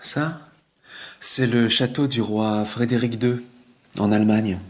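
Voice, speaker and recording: An actor playing a young artist in a scripted textbook dialogue, relaxed and knowledgeable. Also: male voice, mid-range voice, takes it slowly, natural voice. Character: Greg, a young male artist. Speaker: artist tenant character.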